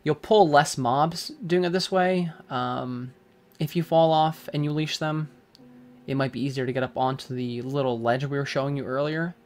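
A man giving a relaxed, casual walkthrough of a game over gameplay, with frequent fillers. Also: medium pitch. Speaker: gaming guide creator.

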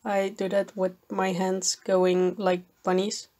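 Slow-talking woman losing her voice. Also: medium pitch, flat delivery, darkish tone. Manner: slow, thoughtful, with fillers and small laughs. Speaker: art channel host. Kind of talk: voice-over commentary on a painting video.

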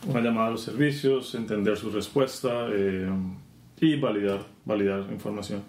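A Colombian man guest talking casually about his work in software testing, relaxed with many fillers. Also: on the low side, normal speed. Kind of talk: casual interview. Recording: roomy conference venue.

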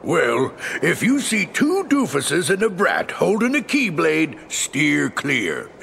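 A man voicing a big, bumbling cartoon bully with a gravelly, blustering delivery and folksy, uneducated grammar in a video game. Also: a little high, wildly swooping pitch, takes it slowly, a bit bright, a little hiss or hum, heavily acted. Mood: wary. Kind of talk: cartoon villain game acting. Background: game music.

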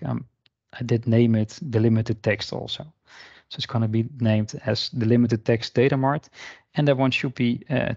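A man narrating an unscripted screen-share software tutorial in an informal, explanatory way. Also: medium pitch, no echo, highs cut off. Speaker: software demonstrator. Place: indoor voice-over for screen recording.

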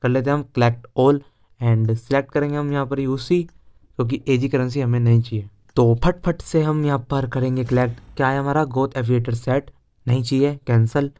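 Young man, Indian accent, smooth clear voice, mid pitch, informal gaming video host, chatty walkthrough commentary. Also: fairly even intonation, normal speed, dark tone. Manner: informal, chatty, mixes Hindi with English words. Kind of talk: casual game walkthrough commentary.

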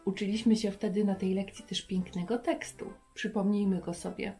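A woman in her thirties telling stories to young children in an expressive way, often putting questions to her listeners. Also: mid-range voice, normal speed, faint music behind. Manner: expressive, addresses viewers with questions. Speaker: host of a children's Bible lesson. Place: furnished room indoors.

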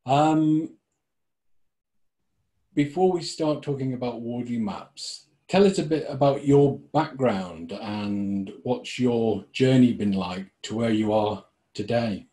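A man hosting a community podcast, speaking in an unhurried, measured way with frequent ums. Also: medium pitch, warm tone. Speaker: podcast host.

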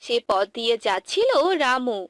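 Female narrator, scripted children's tale, high pitch, animated intonation, dry recording. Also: normal speed, dry recording.

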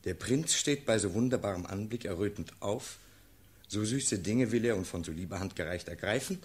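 Husky, low-voiced earnest officer. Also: male voice, lively intonation, darkish tone. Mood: earnest narrating. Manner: measured, declamatory storytelling. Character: earnest, steadfast officer count pleading before his sovereign. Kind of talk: classical German radio play acting in verse.

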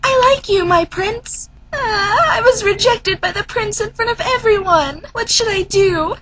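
A woman voicing a tiny, peppy, excitable girl spirit with loud exclamations for an anime fan dub audition. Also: very high voice, unhurried, slight background noise, voice pushed up, exaggerated voice, low-quality recording. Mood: emotional, exuberant.

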